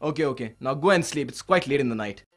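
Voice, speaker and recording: A man plays a kindly, middle-aged merchant in an animated storybook. His voice sits in a middle register, and he gives orders to his servant in a gentle way. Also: mid-range voice, fast talker, close to an everyday voice. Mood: instructing. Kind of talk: animated cartoon dub.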